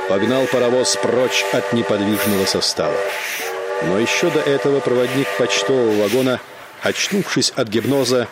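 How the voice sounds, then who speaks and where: A man narrating a radio play in a measured, dramatic storytelling manner. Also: medium pitch, background noise.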